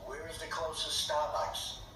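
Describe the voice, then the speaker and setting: A man's voice: fairly high pitch, monotone, unhurried, far from the mic. Older man with a Boston accent. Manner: joking, talking to a phone. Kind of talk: casual home video. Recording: very echoey clip replayed through a tablet.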